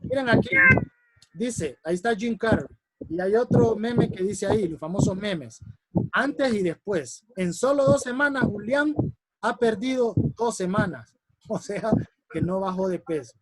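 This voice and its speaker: A man with a strong voice teaching a public speaking workshop, animated and repeating examples for emphasis. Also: sits high, unhurried, a little hiss or hum, rough recording. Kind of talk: workshop lesson. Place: classroom or online lesson.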